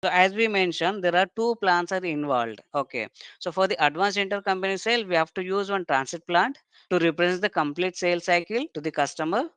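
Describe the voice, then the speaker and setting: A software trainer giving a step-by-step technical lecture in an explanatory way, often punctuating points with short checking tags. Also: mid-range voice, fairly quick, clean tone, dry recording.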